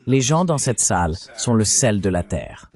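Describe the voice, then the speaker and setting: A man's voice: fairly low pitch, very animated, moderate pace, a little mellow. A man in his seventies putting questions on a political panel, heard as a translated voice-over. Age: 70s.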